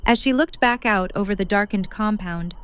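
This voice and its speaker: A woman's voice: medium pitch, moderate pace, clear, resonant tone. A woman reading scripted third-person narration for an audio drama.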